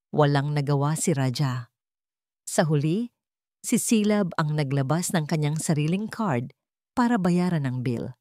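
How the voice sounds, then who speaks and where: A woman narrates a dramatic story at a steady, measured pace. Her voice sits low for a woman. The recording is close and dry, with no room echo. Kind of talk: scripted narration.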